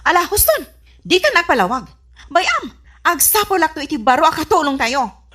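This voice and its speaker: A woman's voice: sits high, big pitch swings, normal speed, sharp tone, exaggerated voice. A woman playing a strict, suspicious wife and household boss in a comedy radio drama. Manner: stern, questioning.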